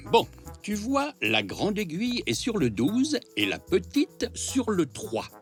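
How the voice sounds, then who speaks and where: An actor playing a kindly, patient, easily muddled old grandpa zebra in a cartoon, with a hesitant, explanatory manner. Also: male voice, high-pitched, exaggerated voice. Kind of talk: French children's cartoon dubbing.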